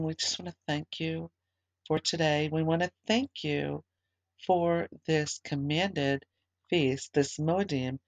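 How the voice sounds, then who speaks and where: A slightly hoarse woman leading a small online religious service, giving announcements in a conversational way with fillers and restarts, then praying. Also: low voice, no echo. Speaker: ministry leader.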